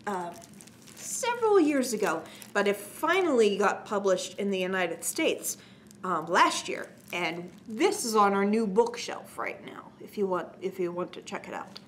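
A middle-aged woman leads a story time for young children in a fairly high voice. Her pitch swings widely as she prompts her listeners with exaggerated questions. There is some background noise on the recording.

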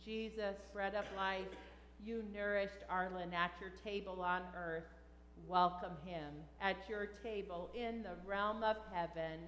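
A middle-aged woman speaking as a pastor, leading liturgical prayer in a measured, solemn way in a church. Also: medium pitch, steady tone, fairly bright tone, a little hiss or hum. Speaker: pastor leading a funeral service. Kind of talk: liturgical prayer and creed recitation. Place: large church sanctuary, at the altar. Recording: echoey church hall with long reverb.

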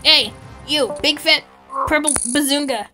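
A woman improvising a game roleplay in an excitable, bossy way, often shouting. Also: high-pitched, unhurried. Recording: background music.

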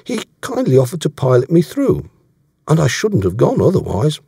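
A man's voice: on the high side, huge pitch swings, normal speed, gravelly, mellow, breathy edge, dry recording, studio quality, voice pushed up, full character voice. A man voicing an earnest, awkward English yachtsman in an audiobook, answering in a short, dogged, defensive way. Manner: short, defensive, offhand replies.

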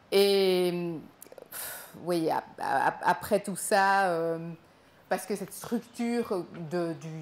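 A young woman speaks at a slightly low pitch, picked up from across an echoey gallery room. She talks in halting starts, repeating words and filling pauses, with a faint room hum beneath her. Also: a little mellow. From Congo, raised in Belgium. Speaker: performer telling her own story. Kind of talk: live spoken performance. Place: small gallery room with an audience.

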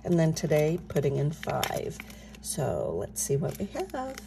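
A middle-aged woman talking softly while filling cash envelopes at her home desk, her voice a bit scratchy from just waking up. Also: low voice, very animated, unhurried, gravelly. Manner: soft-spoken, talking softly. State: slightly scratchy morning voice. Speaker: budgeting channel host. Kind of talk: casual chat while stuffing cash envelopes.

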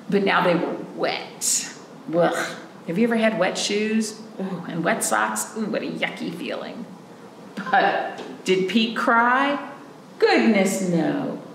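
Slow-paced, creaky-voiced woman leading children's storytime. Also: medium pitch. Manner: simple, encouraging questions addressed to young children. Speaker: children's librarian hosting storytime. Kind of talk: children's storytime talk and picture-book read-aloud. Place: small indoor room, camera a few feet away. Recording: roomy echo, faint background noise.

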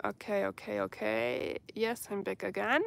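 German woman, 30s, unhurried live-stream host, phone held close outdoors, groping for English words. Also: mid-range voice, little pitch movement, vocal fry. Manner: slow, searching for English words. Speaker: bushcraft vlogger. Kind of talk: live stream chat reading comments. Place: outdoors in a dark forest at night by a campfire.

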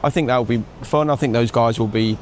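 Man, fast chatty host, outdoor paddock, lapel mic, faint background hum. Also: medium pitch, a little mellow. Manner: quick, chatty questioning. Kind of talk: casual paddock chat. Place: outdoors in a racing paddock at night. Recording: faint outdoor street ambience.

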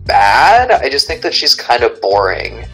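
Husky-voiced man chatting casually. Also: a little slow, faint music behind, rough recording. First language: not English. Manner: hesitant, restarts, pitch jumps up when animated. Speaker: podcast co-host. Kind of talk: casual podcast chat.

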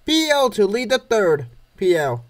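High-pitched, lively man calling baseball plays. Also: unhurried. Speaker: narrator of a comic dub series. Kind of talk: scripted play-by-play narration of a comic baseball game.

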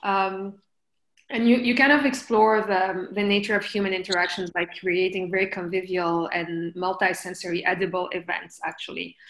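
Lowish woman moderating, roomy echo. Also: flat delivery, moderate pace, a bit bright. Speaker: interviewer, moderator. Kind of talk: casual moderated art conversation. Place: indoors, room with archway. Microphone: computer mic on a video call. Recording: roomy, echoing video call.

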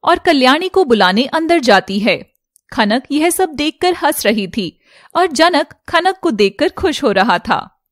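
A woman reading scripted narration as the storyteller of an animated fairy tale. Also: sits high, a little slow, no echo, studio quality.

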